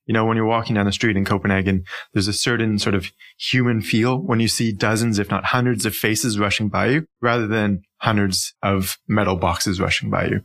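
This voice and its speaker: A man's voice: lowish voice, fairly even intonation, a little fast, hardly any pauses, a bit bright. A man with a Canadian accent answering as a podcast guest in an articulate, conversational, explanatory way. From Ontario, Canada. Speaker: interview guest, urban planning professional.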